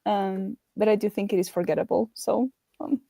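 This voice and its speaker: A woman's voice: mid-range voice, steady tone, normal speed, vocal fry, warm tone, no room sound. A woman co-hosting a casual book discussion, speaking in a tentative, hedging way.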